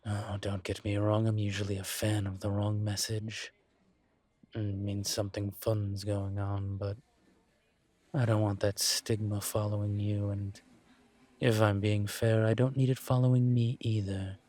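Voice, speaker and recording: A man voicing a suave, teasing incubus professor in an intimate audio roleplay, courteous and measured, with deliberate pauses. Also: lowish voice, little pitch movement, a little mellow. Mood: measured, candid. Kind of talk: intimate one-sided audio roleplay acting.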